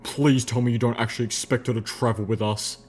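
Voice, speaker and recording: A man's voice: medium pitch, flat delivery, a little fast, breathy edge, natural voice. A man voicing a stern, suspicious old soldier pony in armour, clipped and accusing, in an audiobook story. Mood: suspicious, indignant.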